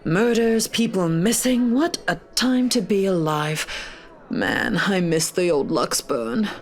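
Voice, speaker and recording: A woman's voice: lowish voice, animated, takes it slowly. A woman playing a blunt, world-weary police detective in a visual novel game. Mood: weary, wry.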